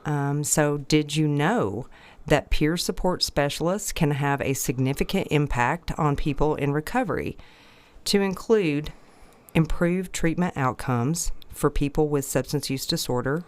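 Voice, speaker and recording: Low, smooth-voiced woman hosting. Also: a little slow. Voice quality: slightly stuffy. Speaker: podcast host. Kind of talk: interview and podcast introduction.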